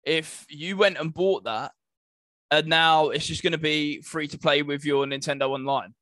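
Man, slightly high voice, close and dry. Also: moderate pace, pushed and bright, no room sound. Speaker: podcast co-host. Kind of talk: casual gaming podcast chat.

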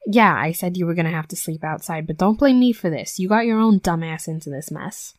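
A woman's voice: on the low side, fairly quick, no room sound, studio quality, close to an everyday voice. A woman voicing a tough young female werewolf bully in an intimate roleplay audio drama, brash and sweary but grudgingly tender. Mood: exasperated, bossy.

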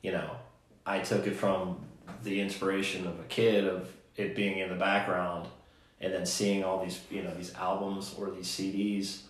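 Very deep-voiced man host, laid-back and jokey, in a very echoey room. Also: little pitch movement, normal speed. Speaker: podcast host. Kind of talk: casual conversation.

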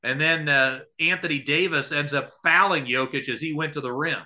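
A man calling a live basketball game as a radio play-by-play announcer, with an excitable delivery. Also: a little high, moderate pace.

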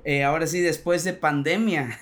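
A male radio host with a somewhat high voice asks his guest questions. He talks in a friendly, halting way, filling pauses often. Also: steady tone, a bit bright. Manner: friendly, hesitant with fillers. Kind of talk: radio interview.

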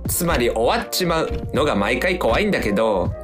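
A man's voice: medium pitch, very animated, brisk, loud music behind the voice, lightly acted. A man playing an uneasy ordinary worker in a poetic radio drama, with terse, apologetic lines.

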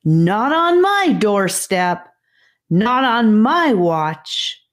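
Fearless child rescuer for fantasy audiobook, voiced by a woman: small high voice, slow, assured. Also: big pitch swings. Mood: firm, confident. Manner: firm, certain, turning soft and kindly. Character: confident, fearless little girl rescuer in fantasy tale.

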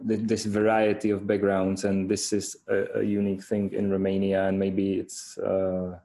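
Flat, mellow male interviewer. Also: deep voice, normal speed, vocal fry. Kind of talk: casual interview talk.

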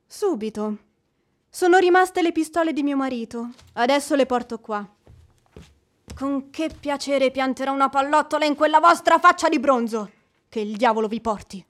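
An actress playing a proud, fiery widow in an Italian radio drama, speaking in a haughty, theatrical, indignant way. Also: female voice, high-pitched, moderate pace, a bit bright. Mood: defiant.

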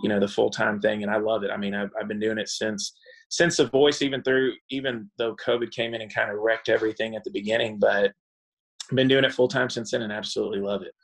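A man in his thirties with a low, gravelly voice talks easily about his songwriting and his path into music. He is from Texas and the Dominican Republic, and he fills his pauses with "um" and "you know." His tone stays laid-back and friendly throughout. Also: level tone, poor audio. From born in Texas, raised in the Dominican Republic. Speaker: country singer-songwriter, guest. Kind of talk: relaxed interview chat.

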